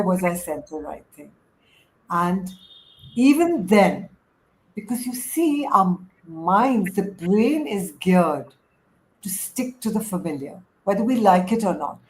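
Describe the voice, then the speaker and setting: A middle-aged woman with an Indian accent hosting a livestream, speaking emphatically and often stacking phrases into lists as she tells personal stories. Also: mid-range voice. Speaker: host, life coach. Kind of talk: casual livestream talk, personal storytelling. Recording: clearly noisy room sound.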